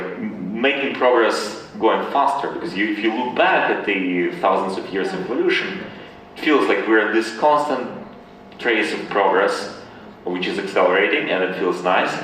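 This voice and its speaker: Male interview guest from Ukraine, low voice, halting conversational delivery, recorded in a very reverberant room. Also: a bit bright. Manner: hesitant, halting, repeating words. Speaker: interview guest, tech founder. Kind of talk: conversational Q&A answers. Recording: very echoey room, distant mic, faint noise.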